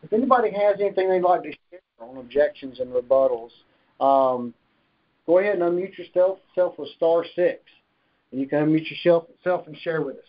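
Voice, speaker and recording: A man's voice: a little high, animated, brisk. A man explaining things as the host of a business conference call, speaking over the telephone. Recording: dull, band-limited conference phone line.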